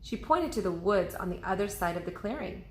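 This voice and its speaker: A woman's voice: mid-range voice, expressive, fairly quick, darkish tone, a little hiss or hum. A woman reading a children's storybook aloud in the manner of a teacher. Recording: roomy, echoing indoor sound.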